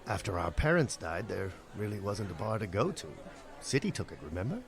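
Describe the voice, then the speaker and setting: A young bard played by a man, fairly low and expressive, hesitant but coaxing. It is comedy radio play acting with clear crowd noise behind. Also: a little fast. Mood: puzzled.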